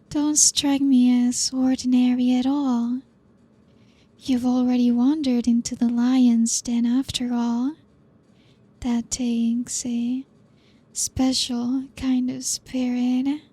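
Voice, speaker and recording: A woman playing a possessive female vampire who coaxes her guest in a soft, breathy ASMR roleplay. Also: on the high side, no echo, exaggerated voice. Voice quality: soft breathy whispery delivery. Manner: slow, teasing, coaxing.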